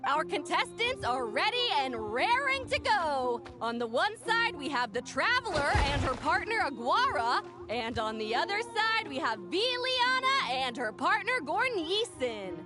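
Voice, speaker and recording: A flashy game announcer calls out the match in a very high, bouncing voice that leaps up and down. Game music plays clearly behind. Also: female voice, big pitch swings, measured pace, hardly any pauses. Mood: hyped. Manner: showy, announcing. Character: lively tournament announcer woman. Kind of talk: video game voice acting.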